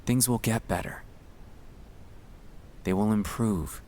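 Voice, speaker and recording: Male, low voice, very slow delivery; gentle, soothing ASMR comfort read over faint low rumble. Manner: gentle, soothing, hushed, reassuring. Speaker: ASMR sleep-aid narrator. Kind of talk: ASMR guided relaxation, scripted comfort monologue.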